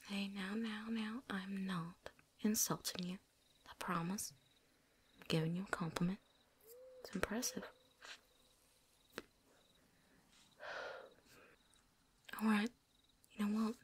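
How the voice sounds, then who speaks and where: A woman playing a seasoned, wary but friendly vampire hunter in a hushed, folksy ASMR roleplay whisper. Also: fairly low pitch, creaky, a little hiss or hum. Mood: teasing, friendly. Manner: hushed, folksy drawl, teasing.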